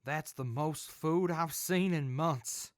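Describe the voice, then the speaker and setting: A man playing a decisive wasteland traveler in an audio drama, brisk and businesslike as he buys supplies. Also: on the high side, dry recording, clean studio sound. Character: decisive male wasteland traveler, the group's buyer. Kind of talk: post-apocalyptic audio drama acting.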